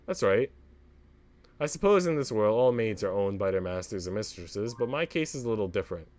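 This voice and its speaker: Visual novel role: worldly female parlour maid, sardonic and composed, with expressive intonation, read by a man. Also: on the low side, brisk, soft background music, pitched below the performer's own voice, close to an everyday voice. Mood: matter-of-fact. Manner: dry, unruffled, sardonic. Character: wry, worldly older parlour maid, an outsider woman.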